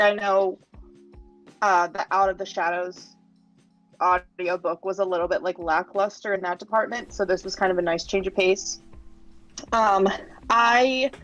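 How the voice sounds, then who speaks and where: A woman with a mid-pitched voice talks casually on a podcast panel, peppering her review with "like." The audio is a little dull and lo-fi, with faint music underneath. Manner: conversational, heavy use of "like". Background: background music.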